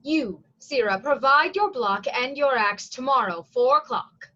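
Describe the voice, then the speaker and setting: Through slightly muffled lo-fi audio comes a brisk, commanding prison provost of the Shakespearean stage acted by a woman. The voice is high and unhurried, declaiming each order with stern weight and some pity. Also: lively intonation, bright, forceful tone, heavily acted. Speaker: actor in a staged reading.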